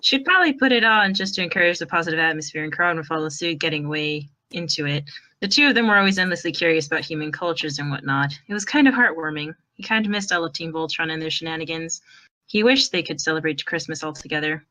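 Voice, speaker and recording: A woman with a fairly low, bright and forceful voice reads as a narrator. She delivers fan fiction prose expressively, as in an amateur audiobook. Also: fast talker, rough recording.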